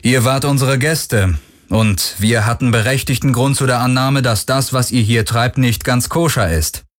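Man acting rugged, commanding male boss, pitched up. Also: normal speed, natural voice. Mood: urgent, assertive. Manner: firm, commanding. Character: rugged, cunning male boss, combative and urgent.

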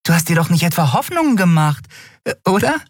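A man's voice: sits high, big pitch swings, a little fast, no room sound, clean studio sound, pitched above the performer's own voice. A man voicing a tiny winged female creature, playful, teasing and boastful, in an audiobook. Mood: gossipy, wry. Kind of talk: audiobook character voice.

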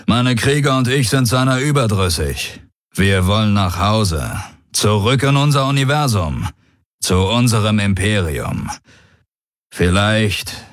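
A man's voice: fairly low pitch, lively intonation, a little slow, no room sound, studio quality, pitched above the performer's own voice. A man voicing a proud, bold alien warlord in an audiobook, commanding and coolly mocking. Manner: commanding, smugly self-assured. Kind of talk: audiobook character dialogue.

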